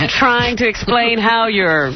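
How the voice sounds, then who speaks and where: A female radio co-host reading the news in a wry, amused way and laughing easily. Her voice sits high. The broadcast sound is dull and lo-fi with faint hiss. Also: lively intonation, slow. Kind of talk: news segment on a comic radio talk show.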